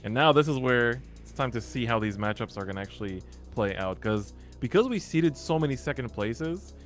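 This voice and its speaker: A man's voice: mid-range voice, expressive, fairly quick, faint music behind. A man co-hosting a live esports bracket draw, commentating and musing aloud with small hesitations. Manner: thinking aloud, hesitant fillers. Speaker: esports caster.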